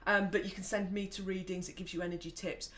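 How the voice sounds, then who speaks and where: A woman's voice: medium pitch, little pitch movement, fast talker, fairly bright tone. A woman co-hosting an app review show, explaining things in a casual, conversational way.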